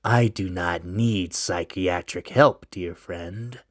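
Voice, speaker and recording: A man voicing a mysterious winged stranger who speaks low, hushed and slow in a storybook reading. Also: dry recording, studio quality. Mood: ominous. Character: mysterious winged male stranger, calm and ominous.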